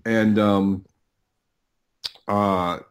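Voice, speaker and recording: A middle-aged man speaking as a podcast guest, telling stories casually and haltingly, often repeating words as he thinks. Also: fairly low pitch, level tone. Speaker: podcast guest, audio producer. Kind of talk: casual interview storytelling.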